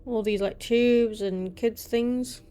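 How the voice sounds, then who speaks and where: A woman's voice: on the high side, fairly even intonation, slow pace, dark tone, clear, resonant tone, soft background music. A woman hosting a reaction video, commenting casually in a blunt, exclamatory way.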